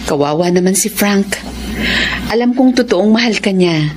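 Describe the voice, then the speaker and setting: A woman's voice: medium pitch, expressive, normal speed, lightly acted. A woman playing a concerned friend in a radio drama, questioning and sympathetic as she persuades. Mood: concerned, coaxing. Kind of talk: Tagalog radio drama acting. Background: music.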